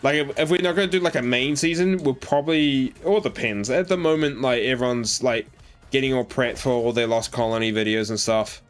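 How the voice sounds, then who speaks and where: An Australian man talks loosely through a live game stream, trailing off and restarting as he responds to viewers. His voice sits at an everyday middle pitch, with soft game music playing in the background. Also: fairly quick. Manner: rambling, filler-heavy, reading and answering chat. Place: home in New Zealand.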